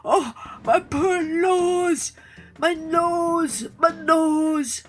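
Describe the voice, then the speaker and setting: A woman's voice: mid-range voice, animated, takes it slowly, a bit bright. A woman voicing a proud, blustering male lion in a storybook reading, with a roaring, pompous manner. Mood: wailing.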